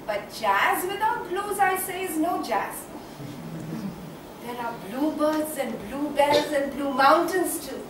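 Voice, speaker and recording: Actress doing a half-mad passionate woman onstage, high voice, slow dramatic poetic delivery, echoey and far from mic. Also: animated. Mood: musing, wry. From India. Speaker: stage actor. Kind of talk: theatrical one-act play monologue. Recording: echoey room, distant mic, faint noise.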